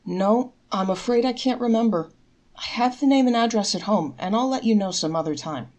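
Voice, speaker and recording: A woman voicing a kindly, devout old male charity collector in a storybook folktale, with a gentle, earnest, coaxing manner. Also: mid-range voice, moderate pace, pitched above the performer's own voice, close to an everyday voice. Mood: vague, mild. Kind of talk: storybook character voice in a read-aloud folktale.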